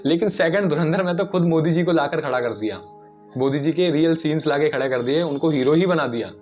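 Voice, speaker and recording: A man speaks in a slightly high voice, explaining and arguing in a casual video-essay style. He often puts questions straight to the listener. The recording is somewhat dull and lo-fi, with music playing underneath. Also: a little fast, darkish tone. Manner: argumentative, poses rhetorical questions to listeners. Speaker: video commentator. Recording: dull lo-fi audio, music behind. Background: background music.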